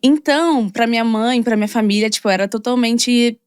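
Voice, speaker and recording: A young woman from Rio de Janeiro with a Carioca accent, a podcast guest telling her story in a chatty, animated way full of fillers. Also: on the high side, moderate pace, clean tone, no room sound, studio quality. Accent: Carioca Brazilian Portuguese. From Rio de Janeiro, Brazil. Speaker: guest, model and content creator. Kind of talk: casual podcast conversation.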